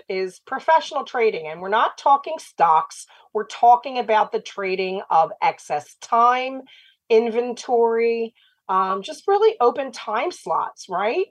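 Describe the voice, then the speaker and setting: Slightly raspy woman hosting radio. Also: medium pitch, no room sound. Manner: upbeat, steady and talkative. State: slightly raspy after laryngitis. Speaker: radio show host. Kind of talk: radio talk show hosting.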